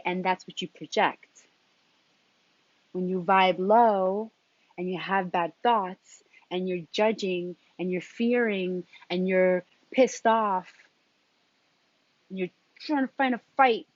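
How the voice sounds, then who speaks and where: A woman speaking as a spiritual coach in a motivational talk, deliberately, in short phrases with emphatic repetition and a husky voice. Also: mid-range voice, no room sound. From New York, of Colombian background. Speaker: transformative coach and intuitive guide. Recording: dull, lo-fi sound.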